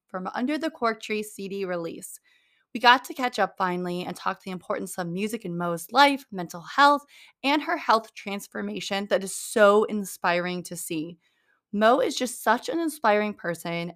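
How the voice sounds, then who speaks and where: A woman's voice: mid-range voice, fairly bright tone. Upbeat chatty woman podcast host. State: slightly scratchy voice. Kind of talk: casual podcast chat.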